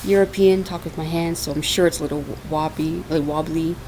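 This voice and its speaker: Low-voiced lady chatting away on her podcast, lots of "you know", laptop mic on her lap, bit of hiss. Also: steady tone, a little fast, dark tone, low-quality recording. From Portugal. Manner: chatty, laughs often, many fillers. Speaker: podcast host and minister. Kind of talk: casual spiritual monologue. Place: dark hot room at home. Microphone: laptop microphone on her lap. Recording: raw home laptop recording with faint hiss.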